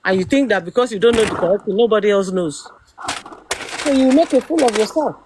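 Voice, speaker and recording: A middle-aged Nigerian woman hosting a talk, ranting forcefully and firing off rhetorical questions. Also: mid-range voice, expressive, fairly quick, noisy background. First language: Yoruba. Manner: emphatic, rhetorical questions, rising to exclamations. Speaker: host. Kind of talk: opinionated commentary.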